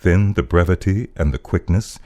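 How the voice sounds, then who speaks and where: A male voice-over artist giving a solemn, dramatic reading of poetic prose, with deliberate and theatrical emphasis. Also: deep voice, animated, dark tone. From United States.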